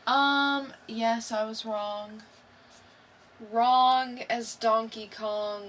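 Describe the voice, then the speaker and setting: A woman co-hosts a podcast in a casual, chatty way. She speaks slowly, with a bright and fairly high voice. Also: steady tone, distant mic. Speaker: podcast co-host. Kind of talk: casual chat.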